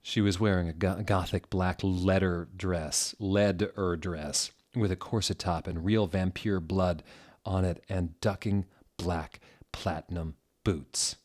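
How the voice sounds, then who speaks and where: Man, low pitch, slow pace, theatrical storytelling, deliberate mock-serious delivery. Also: fairly even intonation, darkish tone. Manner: deliberate, dramatic delivery that mocks the misspellings. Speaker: narrator. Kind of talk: theatrical dramatic reading of fan fiction.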